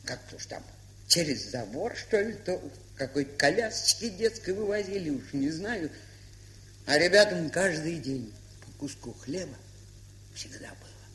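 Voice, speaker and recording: A woman's voice: low-pitched, animated, fairly bright tone. An older woman playing a kindly, chatty village aunt in an audio play, telling stories in a plain, folksy way. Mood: earnest storytelling. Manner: folksy, unhurried storytelling with fond scolding. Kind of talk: audio play monologue and dialogue. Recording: hissy, humming 1970s record.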